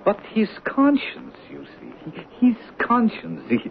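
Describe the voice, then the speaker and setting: An actor playing an evasive, excitable diner worker in an old radio drama, stammering defensively. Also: male voice, high voice, unhurried, gravelly, heavily acted. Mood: defensive, placating. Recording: tinny, hissy old radio recording.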